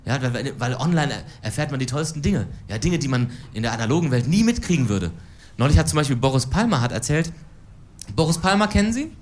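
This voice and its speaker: A woman's voice: very deep voice, lively intonation, fast talker, darkish tone, slight background noise. Dry, ironic cabaret woman in her forties. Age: 40s. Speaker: cabaret performer. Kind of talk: stand-up political cabaret. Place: stage before a live audience.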